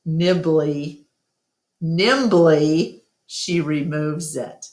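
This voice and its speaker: A woman reading a storybook aloud as narrator, deliberate and expressive. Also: low voice. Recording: echoey, reverberant room.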